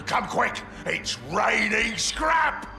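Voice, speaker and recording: Loud gravelly ork warlord, high and cracking, bright and harsh, game cutscene. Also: male voice, sing-song, extremely slow, reverberant, faint music behind, exaggerated voice. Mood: bellowing. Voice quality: gravelly shouting. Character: cunning loud ork warboss, scheming and brash.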